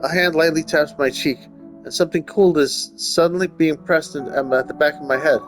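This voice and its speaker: A man's voice: fairly high pitch, fairly even intonation, brisk, a bit bright, soft background music. A man hosting a gaming video, thinking aloud informally and reading the story text out as he plays. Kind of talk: casual game commentary mixed with read-aloud narration.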